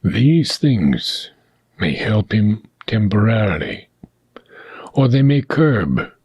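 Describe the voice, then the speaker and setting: A man reading philosophical prose aloud in a solemn, measured way, with deliberate phrasing and frequent pauses. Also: mid-range voice, darkish tone, husky, no echo. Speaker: reader of a philosophical book.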